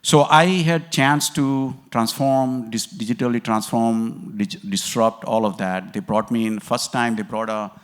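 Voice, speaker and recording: A man's voice: mid-range voice, fairly even intonation, a little slow, hardly any pauses, smooth. Older man from Kenya giving a talk. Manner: rambling, many fillers and restarts. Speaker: conference speaker. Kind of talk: conference talk.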